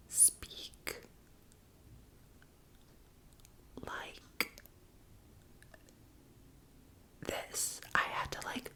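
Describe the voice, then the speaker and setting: A young woman whispers a rambling personal story, chatty and self-mocking. Her hushed voice sits a little high, bright and airy, with very little rise and fall.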